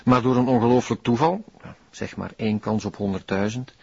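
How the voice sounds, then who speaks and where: A man with a Flemish accent and a husky voice playing a calm, reassuring hospital surgeon in a crime drama. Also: mid-range voice, a little fast, no echo, lo-fi sound. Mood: calm, explanatory. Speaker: actor.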